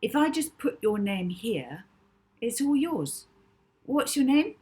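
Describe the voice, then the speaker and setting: A breezy, self-assured young storybook girl, as a woman reader puts her on. Her voice is lifted higher, casual and cheery. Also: sing-song, slow pace, dark tone.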